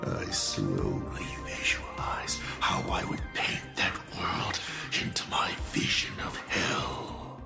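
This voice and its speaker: Slow, creaky, theatrical male villain. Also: extremely low pitch, big pitch swings, over loud music, exaggerated voice. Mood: grandiose, savoring. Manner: slow, mocking, grandiose, savoring his words. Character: theatrical male villain, mocking artist of destruction, fake father. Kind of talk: video game voice acting.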